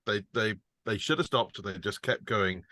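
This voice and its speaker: A man on a script reading panel, chatting casually with quick, wry asides. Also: fairly low pitch, sharp tone, no room sound.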